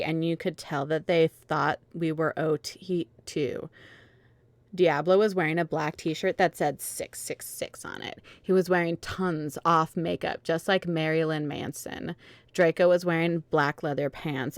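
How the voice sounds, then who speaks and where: A woman's voice: low-pitched, no room sound. A woman hosting and narrating an amateur dramatic reading, with casual chat along the way. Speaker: host and narrator.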